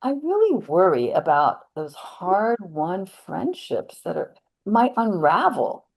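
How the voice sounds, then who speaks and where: A woman's voice: mid-range voice, very animated, slow, creaky, darkish tone, full character voice. A woman reading the part of a worried, tactful churchgoer in a scripted readers theater discussion, hesitant and concerned. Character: worried, tactful churchgoing woman uneasy about hurtful language. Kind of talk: scripted dialogue read as casual discussion.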